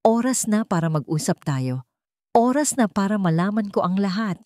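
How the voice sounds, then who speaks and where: A woman acting a betrayed, bitter husband confronting his wife in an accusing, emphatic, halting way in a narrated drama. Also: medium pitch, lively intonation, dark tone, no room sound, studio quality, pitched above the performer's own voice, close to an everyday voice. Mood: cold, commanding.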